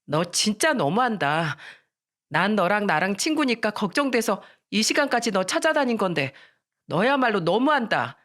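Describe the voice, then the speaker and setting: A woman's voice: medium pitch, very animated, moderate pace, fairly bright tone. A woman acting an earnest, worried teenage schoolgirl. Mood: worried, indignant. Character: earnest, worried teenage schoolgirl, loyal but indignant friend.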